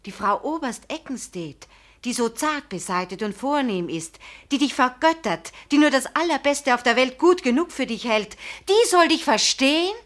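A woman's voice: on the high side, fairly bright tone. A woman playing a proud, passionate young fiancée in a radio drama, speaking emphatically and with dramatic feeling. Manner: emphatic, dramatic, swinging from indignant to tender. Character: proud, passionate young fiancée pleading with her pastor beloved. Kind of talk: German radio drama acting.

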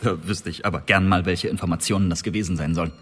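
An actor playing a hot-headed, defiant Elizabethan poet-spy under accusation in a German radio drama, agitated and stammering in protest. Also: male voice, low voice, fairly even intonation, fast talker, a bit bright, a little hiss or hum. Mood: scornful.

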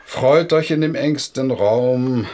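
A man's voice: medium pitch, slow pace, warm tone, natural voice. A man playing a fussy, peevish bird-loving German scholar with a pedantic, curmudgeonly manner in a radio play. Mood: grumbling. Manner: pedantic, curmudgeonly, quoting verse.

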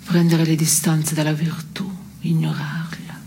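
An actress playing a disenchanted, caustic woman in absurdist stage dialogue, delivered deadpan. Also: low voice, measured pace, vocal fry, a little hiss or hum. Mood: weary, sardonic. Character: disenchanted, caustic woman sparring with her male partner. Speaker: stage actress performing a two-person play.

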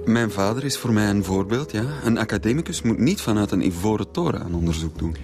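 A self-assured young Flemish student with a deep voice. He speaks formally and pedantically, defensive and condescending as a suspect in a radio play with music. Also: lively intonation, fast talker. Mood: defensive, smug. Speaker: radio drama actor. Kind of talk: radio drama interrogation dialogue.

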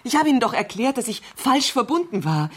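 An actress playing a wealthy married woman in a radio crime drama, agitated, demanding and haltingly insistent on the telephone. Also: on the high side, big pitch swings, slightly rough. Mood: panicked, urgent. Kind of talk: 1970s German radio crime drama acting.

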